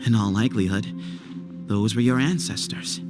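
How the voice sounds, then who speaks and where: A man's voice: fairly low pitch, moderate pace, music in the background, natural voice. A man voicing a gracious, sincere young desert temple heir in a video game, polite and earnest in his speech. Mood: warm storytelling.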